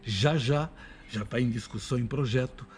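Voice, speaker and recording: A man's voice: medium pitch, normal speed. A Brazilian man, a radio drama voice actor, talking casually and enthusiastically in a promotional interview. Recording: music behind the voice.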